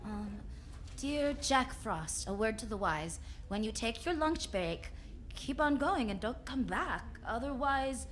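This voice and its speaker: A woman's voice: on the high side, expressive, unhurried, slight background noise. A woman playing a wisecracking, confident detective who tells her case in sassy slang, in old-time radio drama style. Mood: curious, cool. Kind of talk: 1940s radio drama acting.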